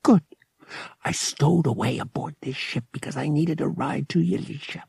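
Man acting a brash young pilot, husky. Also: fairly high pitch, moderate pace, darkish tone, no room sound, pitched above the performer's own voice, heavily acted. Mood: coaxing. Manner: blunt, slangy. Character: brash, impatient young male smuggler pilot.

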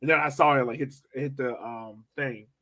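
Mid-pitched man in slangy soccer chat. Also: fairly even intonation, moderate pace, a bit bright. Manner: informal, slangy, conversational. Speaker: soccer podcast co-host. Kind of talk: casual sports fan chat.

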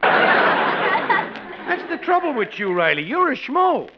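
Slangy American wisecracking neighbor, pushy, hugely swooping delivery, dull vintage radio sound. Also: male voice, extremely high pitch, huge pitch swings, slow pace, a loud crowd, very noisy, full character voice. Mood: brash, scornful. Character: brash, scheming wisecracking working-class neighbor. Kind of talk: 1940s radio sitcom acting.

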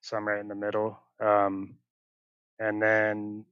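A man's voice: fairly low pitch, completely flat delivery, slow pace, clean tone, highs cut off. A young man from northern Utah, talking casually as an interview guest, hesitant, with many fillers and restarts. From northern Utah, USA.

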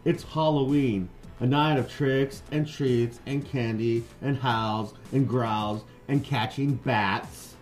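A man's voice: medium pitch, fairly even intonation, takes it slowly, few pauses, faint music behind, lightly acted. A man voicing a gloomy, self-pitying young mummy boy from a storybook, in a droopy, sighing manner. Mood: quiet, dejected. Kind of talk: storybook character read aloud.

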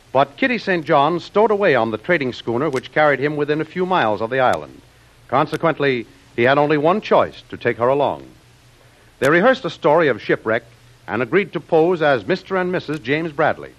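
A man narrating a 1940s radio serial as announcer, in a formal, declamatory style. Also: fairly high pitch. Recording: muffled vintage radio recording.

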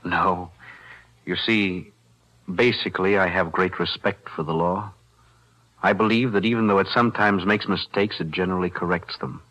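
An actor playing a calm defense attorney, measured and precise, in 1940s radio-drama style. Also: male voice, low-pitched, lo-fi sound. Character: calm, principled male lawyer.

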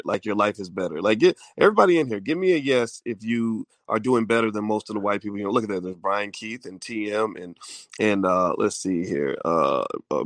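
A man's voice: on the low side, vocal fry, no echo. A man co-hosting a podcast, chatting casually and joking as he reads out viewer comments. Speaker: podcast co-host. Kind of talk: casual live-stream chat.

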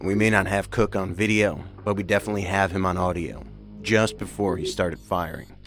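A man's voice: sits low, steady tone, a little fast, a bit bright, faint music behind. An actor playing a skeptical, matter-of-fact male investigator in a science fiction audio drama. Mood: serious.

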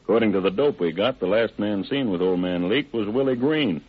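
A man's voice: lowish voice, animated, brisk, slightly rough. An actor playing a shrewd, suspicious lawman with clipped, slangy, probing talk in an old radio crime drama. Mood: wary, sly. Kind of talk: 1940s-50s radio crime drama acting. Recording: tinny band-limited old radio recording.

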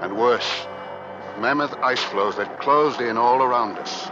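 An actor playing a dutiful ship's navigator narrating an Arctic voyage in formal, dramatic old-time radio style. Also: male voice, mid-range voice, unhurried, natural voice. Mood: grave, foreboding. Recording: dull vintage radio recording with music.

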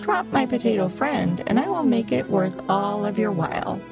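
A woman's voice: mid-range voice, big pitch swings, measured pace, music in the background, pitched above the performer's own voice. A woman voicing a jolly, grinning male pumpkin trickster who talks in a coaxing, persuasive way. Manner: sing-song, coaxing.

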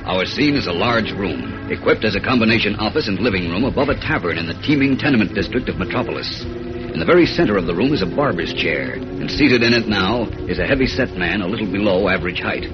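A man's voice: lowish voice, lively intonation, quick pace. A man with an American accent reading scripted scene-setting narration for a 1940s radio drama. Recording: muffled old radio recording with music.

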